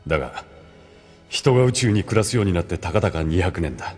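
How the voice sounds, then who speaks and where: A grave, authoritative man with a very low voice. He lectures formally and deliberately, in the measured style of audio drama, over faint music. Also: expressive, a little mellow, husky. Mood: solemn, didactic. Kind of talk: Japanese audio drama acting.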